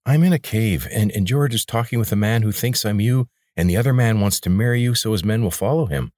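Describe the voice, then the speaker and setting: A man's voice: lowish voice, fairly quick, darkish tone, no echo, studio quality, pitched above the performer's own voice, close to an everyday voice. Narrator doing a shaky dazed young woman, near his own voice.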